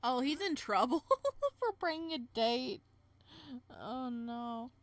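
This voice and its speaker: A woman hosting a casual visual novel playthrough, reading narration aloud and adding playful, expressive side comments. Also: on the high side, takes it slowly, no echo. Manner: expressive, playful reactions between read passages.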